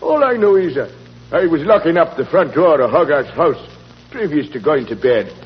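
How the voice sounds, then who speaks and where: A man acts out an excitable old Irish caretaker, a colourful storyteller in an old radio play. His voice sits a little high and is folksy and emphatic. The recording is muffled and echoes. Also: normal speed, natural voice. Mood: insistent, boastful. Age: older. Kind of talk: 1940s radio acting.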